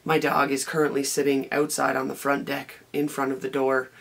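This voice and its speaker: Hoarse gravelly woman, chatty vlogger. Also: a bass voice, little pitch movement, moderate pace. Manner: rambling, chatty, many ums and restarts. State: hoarse, raspy voice. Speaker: vlogger and knitting podcaster. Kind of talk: casual daily vlog chat. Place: home craft room with shelves, indoors.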